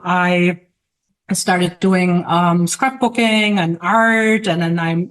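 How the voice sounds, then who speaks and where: A middle-aged woman from Germany with a German accent, a guest in a casual interview over a video call, who pauses often to search for words. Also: lowish voice, low-quality recording. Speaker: guest artist and teacher.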